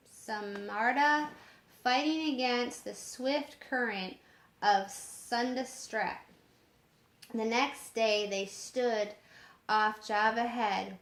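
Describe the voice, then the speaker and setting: A woman reading a story aloud in a measured way, sometimes stumbling over harder words, in an echoing room. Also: a little high. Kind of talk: storybook narration. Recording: roomy echoing home recording.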